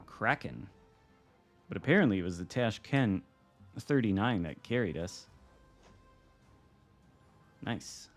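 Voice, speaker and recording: A man from Michigan with an American accent, commenting casually while streaming a game from home, wry and relaxed. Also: fairly low pitch, slow pace, pauses often, faint music behind. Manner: relaxed, wry, reacting to the game with mild swearing. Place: home room.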